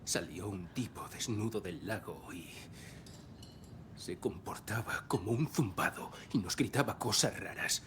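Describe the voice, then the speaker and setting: Low-voiced rattled male witness. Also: animated, a little slow, a bit bright, a little hiss or hum. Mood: uneasy, recounting. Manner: plain-spoken, slangy. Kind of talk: dubbed video game acting. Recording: music behind the voice.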